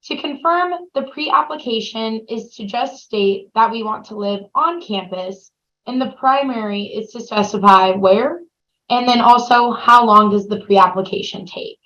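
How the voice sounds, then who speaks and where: A woman's voice: on the high side, measured pace, pushed and bright, highs cut off. A woman moderating a webinar Q&A, upbeat as she reads out questions and hands them to the panel. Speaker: session moderator.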